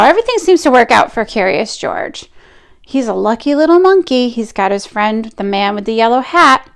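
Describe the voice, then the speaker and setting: A woman reading a picture book aloud to children, expressive, with drawn-out emphasis and chatty asides. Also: fairly high pitch, creaky, smooth.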